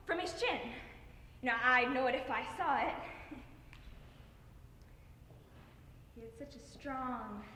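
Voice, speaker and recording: A young woman performing a stage monologue as a tender, grieving middle-aged mother, with halting, theatrical emotion. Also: a little high, pushed and bright. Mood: yearning, grieving. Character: grieving mother Madeline, anguished and tender, reliving loss. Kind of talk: dramatic interpretation monologue on stage. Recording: echoey hall with noise.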